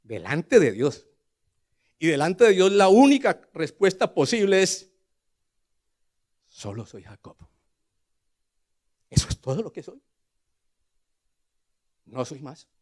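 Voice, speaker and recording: An older man with a Colombian accent preaches in a slightly high voice. He tells scripture as a dramatic story, dropping to quiet asides and rising to loud, emphatic declarations, often putting questions to his listeners. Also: big pitch swings, takes it slowly, pauses often. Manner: rhetorical questions to the congregation.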